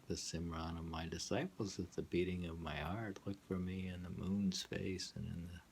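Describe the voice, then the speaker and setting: A man reading his own poems aloud in a halting, musing way, with muttered asides. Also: sits low, breathy edge. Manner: halting, musing, hums to himself between lines.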